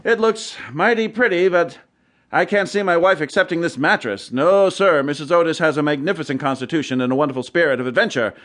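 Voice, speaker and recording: An actor playing a breezy, sceptical American family man in an audiobook drama, brisk and folksy, with an American accent. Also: a little high, a bit bright, natural voice. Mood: hearty, boastful. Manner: brisk, folksy, unimpressed, hearty. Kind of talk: audiobook radio-play acting. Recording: faint music under the voice.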